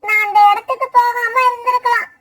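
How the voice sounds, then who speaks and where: A little boy bird in a children's cartoon, played by a woman. The voice is piercingly high and bright, with an innocent, plaintive childlike manner, slightly off the mic. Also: flat delivery, fast talker, far from the mic. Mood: tearful, plaintive. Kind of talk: children's cartoon story acting.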